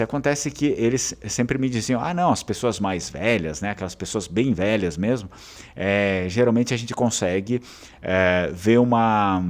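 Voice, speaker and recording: A man from southern Brazil with a southern Brazilian Portuguese accent, hosting his channel in a casual, rambling talk full of fillers and restarts. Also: lowish voice. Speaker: channel host.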